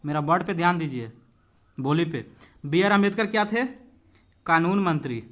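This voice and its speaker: High-voiced man teaching. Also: normal speed, a bit bright. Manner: conversational, asks and answers own questions, addresses students directly. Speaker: exam-prep teacher. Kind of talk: informal lesson, reading questions aloud and explaining.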